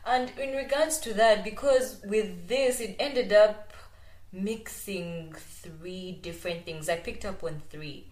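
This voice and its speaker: A woman's voice: medium pitch, slow, darkish tone. A woman asking questions conversationally as an interviewer. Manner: listens with frequent small acknowledgements. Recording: roomy indoor echo.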